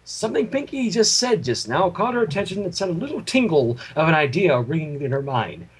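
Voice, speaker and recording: High-pitched man theatrically narrating fan fiction. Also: very animated, a little fast, breathy edge. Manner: storytelling, theatrical. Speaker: story reader and narrator.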